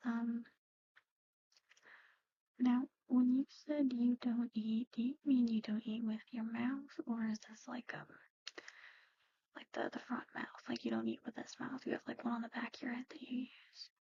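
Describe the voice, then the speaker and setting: Soft, mellow woman in hushed ASMR. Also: fairly high pitch, flat delivery, husky, muffled recording. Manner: hesitant, halting, gently conversational. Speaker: ASMR roleplay performer. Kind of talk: whispered and soft-spoken ASMR roleplay.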